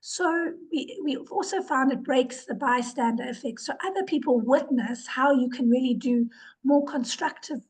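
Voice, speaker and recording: A woman's voice: sits high, moderate pace, darkish tone, poor audio. A woman who is ill and losing her voice, giving an earnest webinar presentation over a video call, repeating words for emphasis. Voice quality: hoarse. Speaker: webinar presenter, organization director. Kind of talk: presentation talk.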